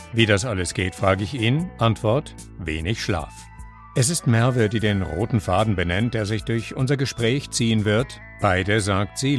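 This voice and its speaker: A man hosting an interview podcast, narrating in a calm, measured way and asking questions. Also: lowish voice. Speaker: podcast host.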